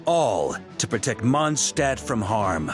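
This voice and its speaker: A man's voice: medium pitch, very animated, takes it slowly, soft background music. A man voicing a confident, genial knight commander in a video game, assured and hearty as he explains.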